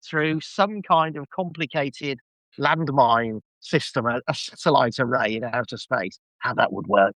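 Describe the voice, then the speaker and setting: A man's voice: medium pitch, unhurried, a bit bright, dry recording, rough recording. A man chatting casually as a podcast guest, giving an enthusiastic fan review full of rambling asides.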